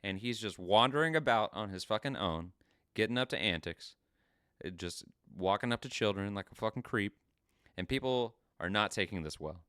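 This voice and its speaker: A man hosting a podcast, recapping a story in an informal, sweary and halting way. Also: on the low side, animated, fairly bright tone, smooth, dry recording, studio quality. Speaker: podcast host. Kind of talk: casual review and story recap.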